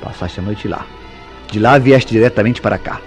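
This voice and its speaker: A man's voice: on the low side, moderate pace, lightly acted. An actor playing a suspicious, jealous man in a Brazilian radio soap opera, speaking in formal, insistent, theatrical tones. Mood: accusing, insistent. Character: suspicious, jealous man relentlessly interrogating a woman. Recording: radio drama with background music.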